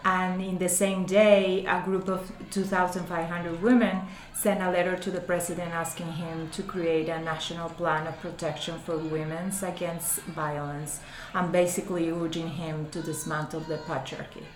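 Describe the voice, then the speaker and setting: A woman's voice: on the low side, flat delivery, normal speed. Earnest Mexican woman. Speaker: podcast co-host, attorney. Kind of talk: podcast discussion. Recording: echoey room with faint noise.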